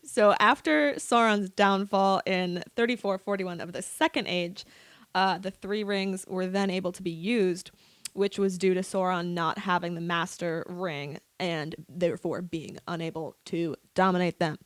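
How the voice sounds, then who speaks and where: A woman's voice: on the low side, steady tone, a little slow, clean tone, no echo. A woman guesting on a podcast, discussing fantasy lore in a casual, playful and slangy way.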